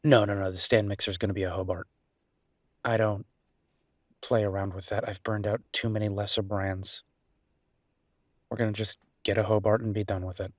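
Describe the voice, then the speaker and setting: A man playing an easygoing, teasing chef boyfriend in an intimate audio roleplay, chatting with affectionate, playful exasperation in a husky voice. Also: fairly low pitch, little pitch movement, normal speed, pauses often, dry recording, clean studio sound, close to an everyday voice. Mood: enthusiastic, opinionated. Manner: conversational, playful, exasperated banter.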